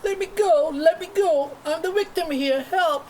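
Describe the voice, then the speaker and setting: A woman's voice: very high voice, measured pace, a little mellow. A woman playing a confused, emotional mother in a screenplay table read, recorded in a noisy room. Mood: frantic, angry. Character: confused, fierce, then tender mother.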